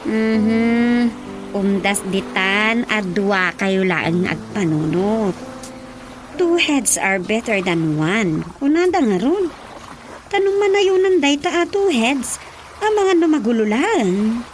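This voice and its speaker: A woman's voice: a little high, animated, takes it slowly, slight background noise. A woman playing a fretful, teasing village wife in an Ilocano radio comedy drama, drawn out and dramatic. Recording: radio drama with prominent music behind.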